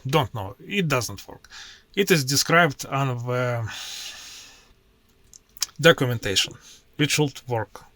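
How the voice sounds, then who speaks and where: A man with a Russian accent, a native Russian speaker, walking through his software workflow in a screen-share tutorial in slow, halting English with many pauses. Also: mid-range voice, lively intonation, no echo. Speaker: IT professional demoing his workflow.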